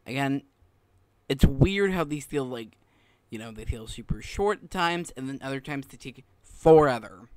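A man's voice: medium pitch, animated, moderate pace, darkish tone, smooth, no room sound. A man giving casual, rambling commentary while playing a video game. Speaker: gaming commentator. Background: game music.

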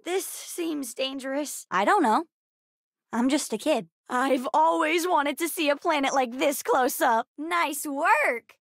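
A woman voicing a bright, bookish, chatty young girl with an American accent, as a video game character. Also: high voice, takes it slowly, no room sound, studio quality, heavily acted. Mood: subdued, worried. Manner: chatty, expressive, youthful.

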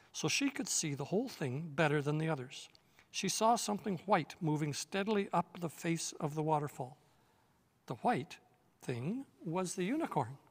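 An older man reading a children's novel aloud in a measured, expressive way, seated in an armchair on a studio set. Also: fairly high pitch, big-room sound. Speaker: reader.

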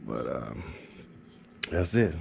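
Low-voiced man, rambling casually. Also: big pitch swings, drawn-out words, vocal fry, darkish tone, slight background noise. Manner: rambling, informal, matter-of-fact. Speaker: HVAC technician and video host. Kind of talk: casual handheld product update vlog. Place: small carpeted home office, indoors.

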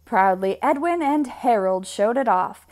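A woman reading a picture book aloud for children, expressively, with deliberate, drawn-out emphasis on key words. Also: a little high, animated, darkish tone. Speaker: read-aloud storyteller.

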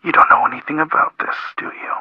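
An actor playing a surly, vengeful schemer in a video game, gruff and curt, with a rough rasp. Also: male voice, fast, dry recording, lo-fi sound, close to an everyday voice. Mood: bitter, defensive. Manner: curt, defensive. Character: surly, resentful adult male schemer, double-crossed and vengeful.

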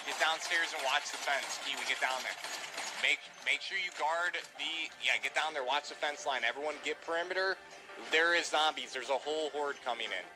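A man's voice: on the high side, normal speed. A man giving live gameplay callouts as a team leader, urgent and commanding, repeating his orders. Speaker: gamer leading a multiplayer roleplay team. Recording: music behind the voice. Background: music.

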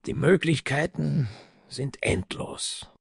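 A man voicing a gruff dwarf warrior in a fantasy audio story, scheming with dark relish in a gravelly, husky voice. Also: fairly high pitch, takes it slowly, no echo, studio quality, voice pushed up, heavily acted. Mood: sinister glee.

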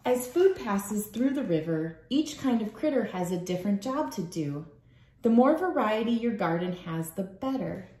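A woman in her thirties reading a children's book aloud at home in a measured storytelling way. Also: mid-range voice, expressive, warm tone. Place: small room at home, indoors. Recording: echoey room.

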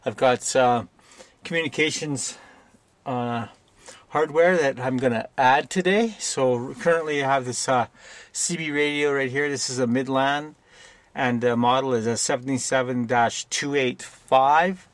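A man's voice: medium pitch, dry recording. Canadian man, casual and dry-sounding recording. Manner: plainspoken, halting, unscripted. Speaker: DIY video presenter. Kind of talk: casual hands-on tutorial narration.

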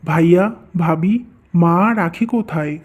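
A man's voice: a little high, unhurried, lo-fi sound, close to an everyday voice. A man voicing a dutiful, earnest young husband in a cartoon who is devoted to his family. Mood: warm, earnest.

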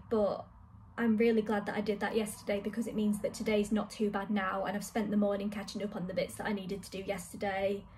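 A young woman from the UK chatting casually to camera about her week in her flat, upbeat and laughing often. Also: a little high, slight background noise. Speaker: vlogger. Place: small flat living room and kitchen, indoors.

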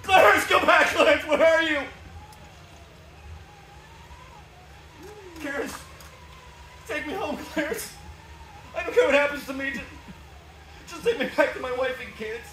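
A man plays an excitable, desperate husband in a stage radio play. His voice sits high, with a stammering, pleading delivery. It is heard from far off in an echoey, noisy theatre. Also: normal speed, long pauses. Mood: frantic. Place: small indoor theatre stage. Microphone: far camera from back of audience. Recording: distant, echoey, noisy theatre audience recording.

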